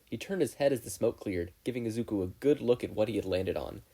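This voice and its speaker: A man with a slightly low voice narrates prose fiction. He reads from a script in the manner of an audiobook narrator. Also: quick pace, mellow.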